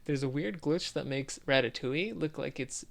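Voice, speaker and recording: A man co-hosting a podcast in a casual, halting, tongue-in-cheek way. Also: medium pitch, gravelly, crowd noise. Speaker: podcast co-host.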